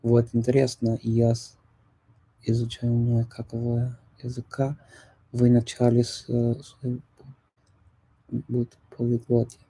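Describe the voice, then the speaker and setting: Host voice: native English-speaking man, very dark and mellow tone, flat delivery, halting as he hunts for words. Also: mid-range voice, breathy edge, poor audio. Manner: halting, often pausing to search for words. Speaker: host of a discussion on multilingual events. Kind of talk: casual live group chat.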